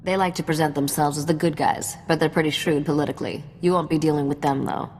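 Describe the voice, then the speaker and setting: A woman's voice: sits low, fairly even intonation, fast talker, a little mellow, close to an everyday voice. A woman playing a shrewd, worldly smuggler's contact in a video game, cynical and matter-of-fact. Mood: matter-of-fact briefing. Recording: reverberant hall sound with faint noise.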